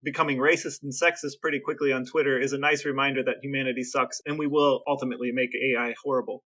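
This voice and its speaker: Relaxed man with a bright voice. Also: mid-range voice, fast. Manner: laid-back, chuckles often. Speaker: podcast co-host. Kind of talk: casual chat.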